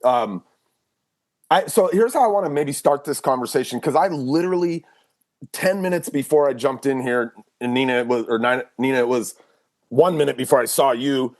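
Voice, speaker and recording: A man's voice: medium pitch, normal speed, a little mellow. A man hosting a podcast, talking loosely and casually with many restarts. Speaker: podcast host.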